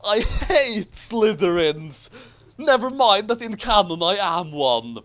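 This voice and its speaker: Man acting spiteful boy rival, raised pitch, haughty, blustering, storybook villainy. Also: very animated, takes it slowly, a bit bright, heavily acted. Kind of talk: mocking dramatic reading of fan fiction.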